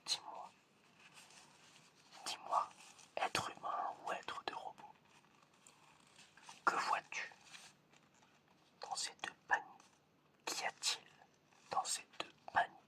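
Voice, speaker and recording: A man's voice: takes it slowly, long pauses, bright, forceful tone. Whispering, stern examiner played by a man. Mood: probing. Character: stern, menacing examiner testing a suspected robot. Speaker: ASMR roleplayer. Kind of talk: ASMR roleplay, whispered.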